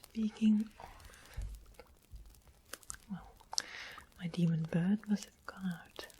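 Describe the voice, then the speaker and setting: A woman voicing a sweet, mischievous witch in an ASMR fantasy roleplay, in a breathy whisper with an unhurried, polite, coaxing manner. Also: mid-range voice, fairly even intonation, dark tone, a little hiss or hum, natural voice. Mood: softly informative. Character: sweet-smiled, mischievous witch of the west, softly sly.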